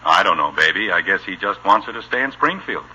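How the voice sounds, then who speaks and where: A man's voice: low voice, lively intonation, a little fast, breathy edge, dull audio, heavily acted. An actor playing a flustered, exasperated middle-aged American father in a radio situation comedy, prone to stammering and repeating himself. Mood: patient. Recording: old, bright radio broadcast recording.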